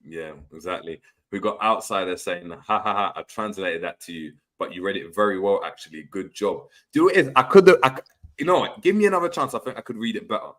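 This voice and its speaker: A man's voice: lowish voice, fast talker, a bit bright. A young man, hosting a casual football chat and reading out viewer comments, slangy and prone to repeating words. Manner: laid-back, slangy, stumbles and repeats words. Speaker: podcast host.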